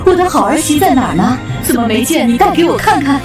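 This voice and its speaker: A woman's voice: high voice, expressive, quick pace. A regal heavenly queen mother. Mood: doting. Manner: stately, commanding, affectionate to son. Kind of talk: costume drama acting. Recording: echoey with loud music score.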